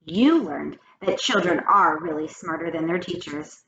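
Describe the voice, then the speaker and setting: A woman's voice: low-pitched, measured pace, roomy sound, far from the mic. A woman voicing a friendly, scatterbrained female primary teacher from a storybook, talking earnestly to a child. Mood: confiding. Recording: muffled lo-fi with faint noise.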